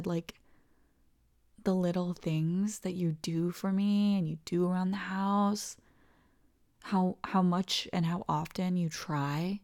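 A tender, devoted young girlfriend, voiced by a woman in an ASMR roleplay, speaking slowly and reassuringly. Her soft voice often drops into whispers and carries a gravelly vocal fry. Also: on the low side.

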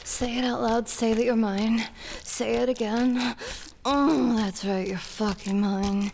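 A woman playing a possessive, adoring elven princess in an intimate adult audio roleplay, breathy and moaning, often dropping into whispers. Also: fairly high pitch, fairly even intonation, slow, darkish tone, slight background noise. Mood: adoring, commanding.

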